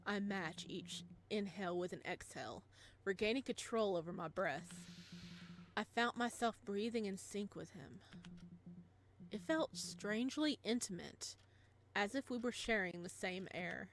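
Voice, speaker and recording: Girl doing a lets play, reads the story slow and dramatic, reacts playfully, bit of background noise. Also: mid-range voice. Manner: expressive, theatrical. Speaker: game streamer reading a visual novel aloud.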